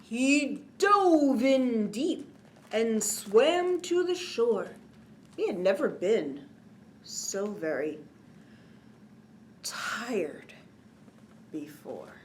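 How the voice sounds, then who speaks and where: A woman's voice: a little high, sing-song, drawn-out words, long pauses, a little hiss or hum. A woman reading a children's picture book aloud expressively, in a sing-song way with chatty little asides. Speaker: children's storytime reader.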